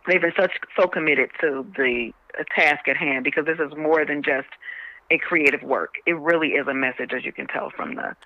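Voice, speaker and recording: A woman speaking as a radio interview guest, emphatic and a little halting, often restarting her phrases. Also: deep voice, no echo. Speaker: stage play cast member and spokesperson. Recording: dull band-limited radio call sound.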